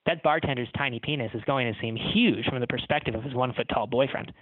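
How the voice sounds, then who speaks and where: A man's voice: medium pitch, fast, slightly rough, dry recording, close to an everyday voice. A man as a tactless genie, phone-like sound. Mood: blunt. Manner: blunt, sheepish. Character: tactless, blunt, apologetic genie.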